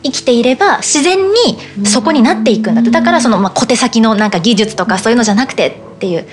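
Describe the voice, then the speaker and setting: A woman's voice: on the high side, animated, racing. A young woman, a voice actress guest, chatting eagerly and emphatically. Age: young adult. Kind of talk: casual chat.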